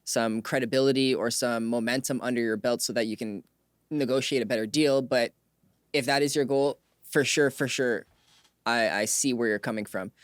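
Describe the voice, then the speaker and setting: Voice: mid-range voice, steady tone, moderate pace, no room sound. Interview host with a dry, close sound. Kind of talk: conversational interview hosting.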